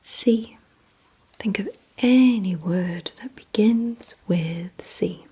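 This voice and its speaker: A woman speaks in a very breathy whisper, mostly without voice. Her delivery is slow and deliberate, with a soft, mellow tone. She gives hushed instructions straight to the listener as an ASMR roleplay. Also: mid-range voice. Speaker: ASMR performer.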